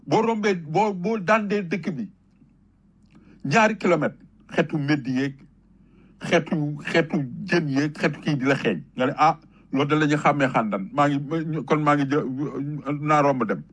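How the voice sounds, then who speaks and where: Husky, emphatic Senegalese man. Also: fairly high pitch, a little slow, a bit bright. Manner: emphatic, rhetorical, storytelling with repetition. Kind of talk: impassioned patriotic public talk, switching between French and Wolof.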